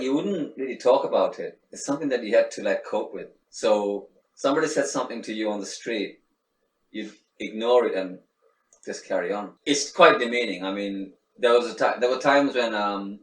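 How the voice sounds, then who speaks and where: A middle-aged man from Sri Lanka, talking in a reflective, casual interview with many restarts and fillers. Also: fairly low pitch. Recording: echoey room, faint background noise, mic at a distance.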